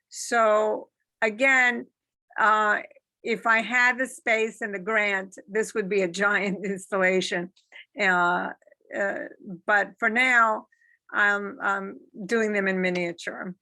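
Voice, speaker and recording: A woman's voice: mid-range voice, steady tone, takes it slowly, sharp tone, no room sound. A woman artist informally presenting handmade work on a video call, hesitant and searching for words.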